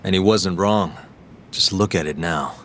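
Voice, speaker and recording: An actor playing a tough, determined private detective with a direct, interrogating manner in a game dub. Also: male voice, deep voice, measured pace, a bit bright, slight background noise. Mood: probing. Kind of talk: English video game dub, dramatic acting.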